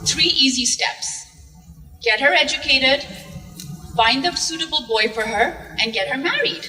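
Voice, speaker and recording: A woman telling her personal story on stage in a slow, dramatic, poetic way. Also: high voice, animated, bright, forceful tone, soft background music. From Zambia. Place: art museum event stage with audience. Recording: distant mic in a reverberant hall.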